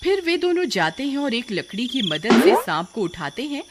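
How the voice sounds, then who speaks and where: A woman's voice: on the high side, sing-song, normal speed. A woman narrating a scripted children's moral story as the storyteller. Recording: loud background noise.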